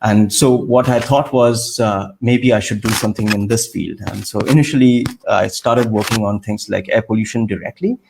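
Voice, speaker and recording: Thirties man from India, measured explainer, low voice, video call with faint background noise. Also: creaky. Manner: measured, explanatory, with frequent "uh" fillers. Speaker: guest scientist. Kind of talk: interview answers.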